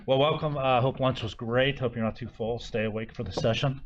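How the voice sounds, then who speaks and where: Soft-spoken man, muffled conference audio. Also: mid-range voice, steady tone, slight background noise. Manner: soft-spoken, halting with many fillers. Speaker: conference session moderator. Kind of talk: introducing speakers at a conference panel. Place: conference room.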